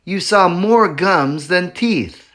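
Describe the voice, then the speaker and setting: Man reading a storybook to kids, bright and lively, slow sing-song delivery. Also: high voice. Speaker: reader at a school library. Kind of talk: children's chapter book read-aloud.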